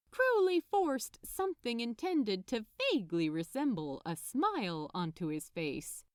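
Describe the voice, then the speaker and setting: A woman reading a story aloud as a narrator, in an expressive, measured storytelling manner. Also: medium pitch, no echo, studio quality. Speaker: fan fiction narrator.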